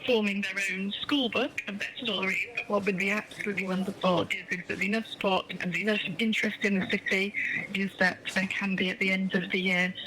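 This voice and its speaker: Middle-aged woman, enthusiastic interview guest, emphatic and effusive, medium pitch, clear noise behind her. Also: steady tone, a little fast. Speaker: interview guest, children's storytelling project founder. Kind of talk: enthusiastic radio interview answers.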